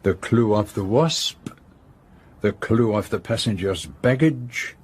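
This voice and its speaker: A foreign gentleman detective in a radio drama. He is courteous and self-assured, with formal, precise, measured phrasing and a shrewd, probing manner. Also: fairly low pitch, expressive, slightly rough, exaggerated voice. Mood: wry, deliberate. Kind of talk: radio drama acting and first-person narration.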